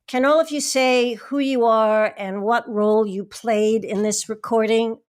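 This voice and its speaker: A woman's voice: a little high, steady tone, measured pace. A woman hosting, introducing and interviewing in a scripted, presenting style. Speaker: host.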